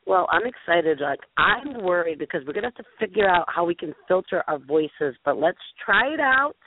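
A woman hosting a casual talk show, deep-voiced and bubbly, gushing and peppering her talk with "like". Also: expressive, moderate pace. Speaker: host. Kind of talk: casual chat show banter. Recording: tinny phone-line radio sound.

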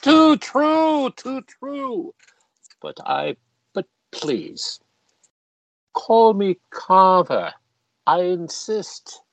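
A man acting a jovial, lecherous Victorian member of Parliament in a radio play, pompous and theatrical with flirtatious, drawn-out phrasing. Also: sits high, big pitch swings, no room sound, full character voice. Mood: smug, flirtatious.

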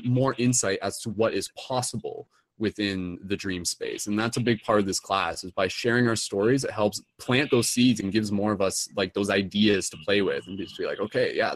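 A young man with a lowish voice hosts a class, rambling along with filler words everywhere. The sound is dry and close, with no room in it. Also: no echo. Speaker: host. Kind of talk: casual online class hosting.

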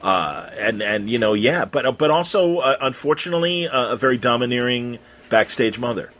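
A man hosting a casual conversational interview, feeling his way through questions with halting, circling phrasing. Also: mid-range voice, animated, fairly bright tone. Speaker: interviewer, host.

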